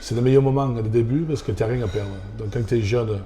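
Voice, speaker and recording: A man from Australia with an Australian accent, a restaurant owner and former rugby player, answering interview questions in halting French with frequent restarts. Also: medium pitch, mellow, a little hiss or hum.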